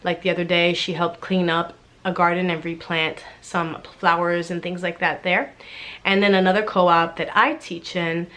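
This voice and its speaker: A woman's voice: lowish voice, a bit bright. Stuffy-voiced woman chatting to camera. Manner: chatty, friendly, talks straight to viewers. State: stuffy and congested from a cold. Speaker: homeschooling mom vlogger. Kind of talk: casual chat to camera.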